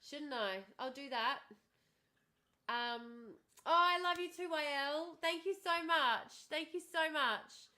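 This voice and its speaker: A woman's voice: high-pitched, takes it slowly. A woman hosting a casual livestream, chatty and bubbly, her voice starting to go after hours of talking. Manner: bubbly, chatty, thanks viewers warmly and often. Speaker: livestream host. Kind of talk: casual livestream chat with viewers.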